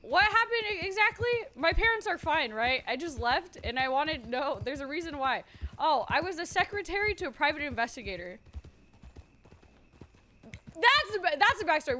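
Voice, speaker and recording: Loud, chatty woman streamer. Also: sits high, slight background noise. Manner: swears freely, thinks out loud. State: nervous. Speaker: streamer playing a roleplay character. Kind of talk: casual chat to stream viewers.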